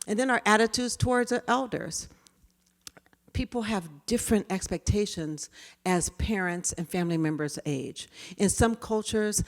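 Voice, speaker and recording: A woman in her fifties with a quiet voice, giving a conversational workshop talk full of personal stories. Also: medium pitch. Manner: storytelling, conversational. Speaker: diversity trainer.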